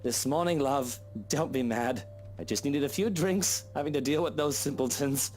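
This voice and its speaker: A man's voice: medium pitch, normal speed, a little mellow, soft background music, pitched above the performer's own voice, lightly acted. A man voicing a drunk, jealous husband with a sneering, patronizing drawl. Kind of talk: voicing a visual novel character.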